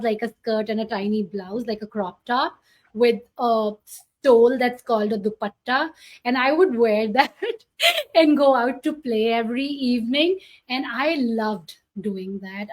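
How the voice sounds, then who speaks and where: Enthusiastic woman, higher voice. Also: measured pace. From India. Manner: enthusiastic, addresses viewers directly. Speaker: fashion designer guest. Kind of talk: casual chat.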